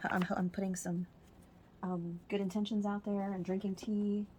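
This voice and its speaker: A woman with a husky, hoarse voice doing a spiritual tarot reading as a casual monologue, speaking softly and sometimes close to a whisper. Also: medium pitch, little pitch movement, normal speed, slight background noise. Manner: soft-spoken, drifts into whispers at times. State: hoarse, losing her voice. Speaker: tarot reader.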